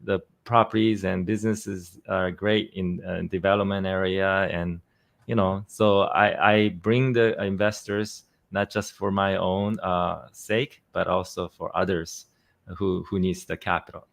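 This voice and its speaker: A man in his fifties from South Korea, speaking English with a Korean accent as a finance CEO guest in a casual interview, halting with fillers and restarts. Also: sits low, steady tone, vocal fry. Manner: halting, many fillers and restarts, quick laughs.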